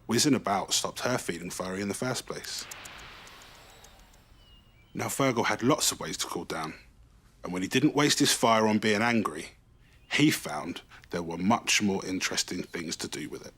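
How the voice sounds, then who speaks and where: A man reading a children's bedtime story in an expressive, animated way, stretching key words for emphasis. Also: fairly low pitch, moderate pace, slight background noise.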